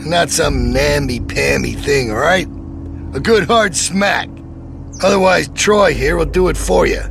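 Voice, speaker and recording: An actor voicing a menacing, domineering middle-aged leader in a video game, preachy and measured with folksy menace. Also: male voice, on the high side, creaky, a bit bright, faint music behind. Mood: cold menace. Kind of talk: dramatic video game voice acting.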